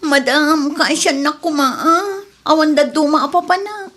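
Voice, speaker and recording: A woman's voice: sits high, moderate pace, a bit bright. A woman with an Ilocano Filipino accent playing a brazen, scheming, whining daughter and dismissed housemaid in a radio drama. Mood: pleading.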